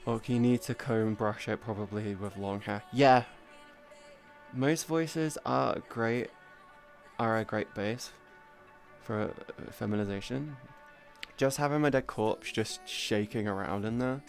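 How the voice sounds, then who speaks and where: A woman's voice: rumbling low, takes it slowly, vocal fry. A woman is chatting casually on a live stream. Her talk is informal and rambling, peppered with swearing. Music plays faintly behind her. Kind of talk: casual chat with stream viewers. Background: background music.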